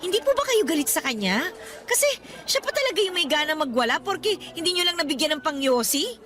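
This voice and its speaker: A woman's voice: sits high, sing-song, fairly quick, bright, forceful tone, exaggerated voice. A woman playing a caring, protective younger woman in a radio drama, speaking earnestly and emphatically. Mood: indignant. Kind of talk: Tagalog radio drama acting.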